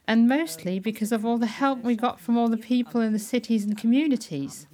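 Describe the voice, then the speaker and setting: A woman with a fairly high voice speaks as a politician in an interview. Her tone is earnest and appreciative. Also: fairly quick, mellow.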